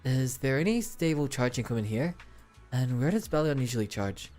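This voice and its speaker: A woman voicing a calm, matter-of-fact temple worker in a video game. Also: a bass voice, a little fast, soft background music, pitched below the performer's own voice.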